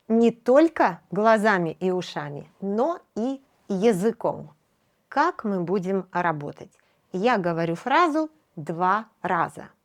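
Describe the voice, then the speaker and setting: A woman teaching a language lesson, speaking slowly and clearly and saying each practice phrase twice with patient encouragement. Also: medium pitch. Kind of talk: slow, clear shadowing lesson.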